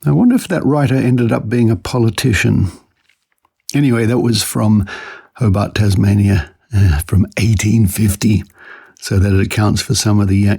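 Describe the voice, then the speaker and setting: A man's voice: medium pitch, dark tone. Male presenter reading aloud with theatrical expression. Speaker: podcast presenter. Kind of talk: reading old newspaper articles aloud with brief commentary.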